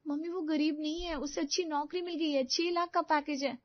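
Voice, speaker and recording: A woman's voice: high voice, steady tone, a little fast, no echo, dull audio, voice pushed up, natural voice. A woman voicing an earnest, emotional young woman in love in a story. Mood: earnest, pleading.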